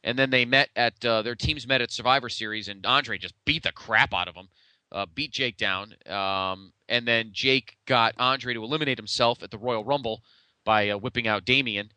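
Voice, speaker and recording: Clear, bright-voiced man podcasting. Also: medium pitch, normal speed, no room sound. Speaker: podcast co-host. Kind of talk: casual pro wrestling retrospective chat.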